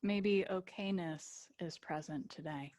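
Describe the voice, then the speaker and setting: Slow, low-voiced woman guiding meditation. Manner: gentle invitations with long pauses. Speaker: yoga nidra teacher. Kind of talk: guided relaxation meditation.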